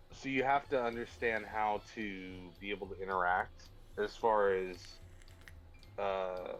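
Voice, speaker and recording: A man's voice: mid-range voice, a bit bright. A man from the southern United States with an American accent, rambling in casual chat with many fillers.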